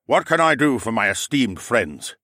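A man's voice: medium pitch, big pitch swings, moderate pace, bright, forceful tone, no echo, studio quality, voice pushed up. Man doing a genial dinosaur-man ambassador. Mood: courtly.

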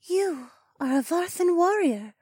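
Man acting mysterious fantasy woman, breezy, high voice, gentle, serene, audiobook character. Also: lively intonation, unhurried, no echo, studio quality.

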